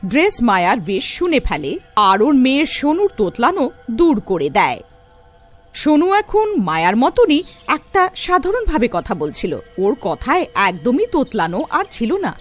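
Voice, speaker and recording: A woman's voice: a little high, big pitch swings, measured pace, faint music behind. A woman narrating a scripted cartoon tale as a storyteller.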